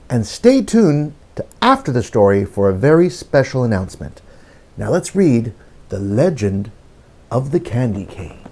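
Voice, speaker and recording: A man's voice: medium pitch, gravelly, dark tone, slightly rough. A man hosting a children's story time, reading aloud expressively and speaking directly to young listeners with exclamations. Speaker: children's storytime host and reader.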